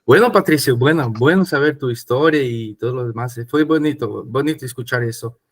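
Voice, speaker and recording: Man from Chile, mid pitch, rambling podcast talker. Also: fairly quick. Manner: rambling, with repeated words and fillers. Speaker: podcast host. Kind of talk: casual chat.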